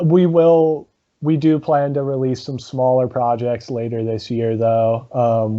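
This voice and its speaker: A man, a guest in a casual interview, talking about his comedy rock band with a low voice, lots of ums and laughs. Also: dark tone, dull audio. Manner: halting, many ums, laughs often.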